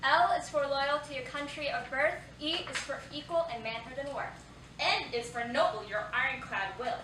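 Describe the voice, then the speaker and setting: A woman's voice: high voice, moderate pace, close to an everyday voice. An actress playing a friendly young Japanese-American pen-pal girl, reciting and projecting in a staged reading of a wartime play. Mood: sincere. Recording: echoey theatre, distant mic, background noise.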